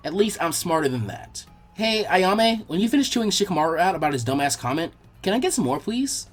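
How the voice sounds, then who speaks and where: A man's voice: sits high, sing-song, a little fast, soft background music. A man voicing a brash, eager young ninja boy who talks bluntly and informally in a story reading. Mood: blunt, teasing.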